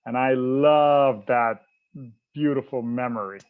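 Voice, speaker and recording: A man's voice: mid-range voice, slow pace, clear, resonant tone, narrow, tinny audio. A man from Puerto Rico whose first language is Spanish, a finance director chatting in a friendly, easygoing way with small hesitations. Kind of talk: casual panel chat.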